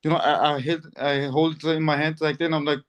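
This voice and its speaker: Guy on a podcast, kinda high voice, rambles with lots of likes and you knows. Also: level tone, quick pace, clear, resonant tone. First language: Turkish. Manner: rambling, many fillers and restarts, laughs often. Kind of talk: casual chat.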